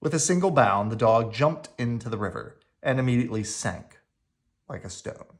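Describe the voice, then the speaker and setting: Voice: medium pitch, animated, moderate pace. A storyteller reading a children's picture book aloud in a dramatic, playful manner. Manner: dramatic, with playful sound words. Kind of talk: animated read-aloud storytelling.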